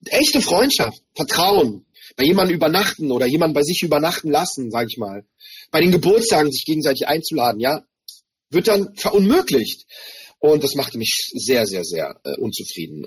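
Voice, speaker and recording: A man with a slightly husky voice that sits a little high for a man. He is a native Hebrew speaker raised in Germany. He talks through his experiences earnestly and thoughtfully, stopping now and then to search for the right word. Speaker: interview guest, rapper. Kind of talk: personal reflective interview talk.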